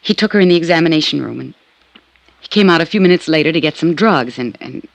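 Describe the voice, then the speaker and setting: A woman's voice: deep voice, lively intonation, quick pace, creaky, no room sound. A doctor's wife in a 1950s radio crime drama, anxious and evasive. Her voice falters defensively under questioning. The vintage recording is dry and close. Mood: subdued, confessing. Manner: hesitant, faltering under pressure. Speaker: actress in radio detective drama.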